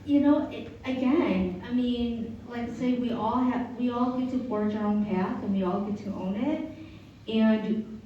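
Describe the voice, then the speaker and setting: A woman's voice: fairly high pitch, measured pace, a little hiss or hum. A woman on a panel speaking in a reflective, conversational way. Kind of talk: panel discussion. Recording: dull echoey room, mic far off.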